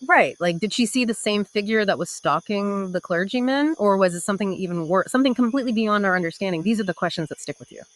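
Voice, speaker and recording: A woman's voice: medium pitch, expressive, fast talker, hardly any pauses, dry recording. A woman co-hosting a podcast in a conversational literary discussion, with dramatic storytelling emphasis. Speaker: podcast co-host.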